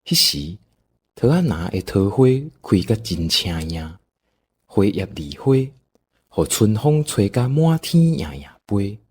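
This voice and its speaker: A man's voice: fairly low pitch, big pitch swings, slow, darkish tone, slightly rough. A man with a Taiwanese accent narrating an audio drama in a folksy, dramatic storytelling style.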